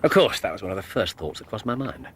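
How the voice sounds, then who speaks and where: Casting: courteous, sharp-minded gentleman sleuth, English RP, lowish voice with lively swoops, precise radio-play delivery. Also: fast talker, slight background noise. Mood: confident, analytical. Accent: English, Received Pronunciation. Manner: precise, incisive, theatrical, murmured asides. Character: keen, courteous, self-assured gentleman detective. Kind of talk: radio drama acting.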